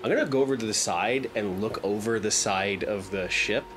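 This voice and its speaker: A man's voice: fairly low pitch, normal speed, fairly bright tone. A man chatting casually as a player in a tabletop role-playing game. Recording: clear background noise.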